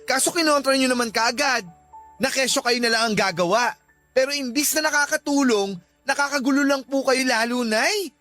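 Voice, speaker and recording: An exasperated adult child in a radio drama, scolding an aging parent. The voice is high and piercingly bright, nagging away over faint background music. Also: female voice, normal speed, no room sound. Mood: frustrated. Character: irritated adult child scolding an elderly mother. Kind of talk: Tagalog radio drama acting.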